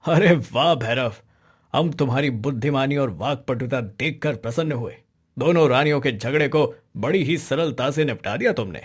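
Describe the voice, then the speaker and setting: A man voicing a stately, shrewd king in a moral-story cartoon, formal, measured and authoritative in manner. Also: medium pitch, animated, husky. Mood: pleased, regal.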